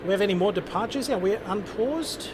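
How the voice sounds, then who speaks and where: Male stream host, high voice, enthusiastic casual commentary, slightly noisy setup. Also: expressive, a little fast. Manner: enthusiastic, repeats phrases for emphasis.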